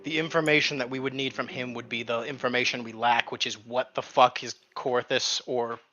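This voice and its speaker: A man's voice: mid-range voice, little pitch movement, brisk, bright, forceful tone, lo-fi sound. A man talking at length as a tabletop game player, casually arguing out strategy with some mild swearing. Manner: talkative, argues points at length, mild swearing.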